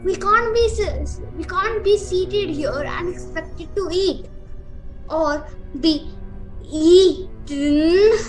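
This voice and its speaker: A woman voices a nervous, frightened child in a radio play. The voice is very high and hesitant, stumbling over words, with music playing underneath. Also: slow pace, reverberant, low-quality recording. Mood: anxious. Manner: stammering, hesitant. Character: frightened, stammering child lost in a spooky castle. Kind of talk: amateur radio play acting.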